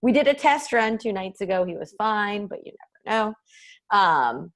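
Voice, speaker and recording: A woman's voice: mid-range voice, animated, moderate pace. A woman from Massachusetts, an author, talking casually and reflectively on a video call. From Massachusetts, United States. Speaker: author and guest speaker.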